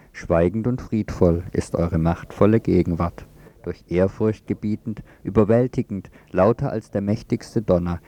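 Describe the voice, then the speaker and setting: A man presenting on radio, reading scripted narration and poetry in a measured, solemn manner. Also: sits low, mellow.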